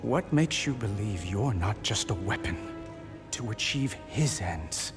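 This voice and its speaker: A man's voice: lowish voice, lively intonation. A man playing a defiant, righteous young captive king in a dramatic game cinematic, earnest and challenging. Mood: defiant, pleading. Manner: slow, impassioned, challenging. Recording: cinematic music behind the voice.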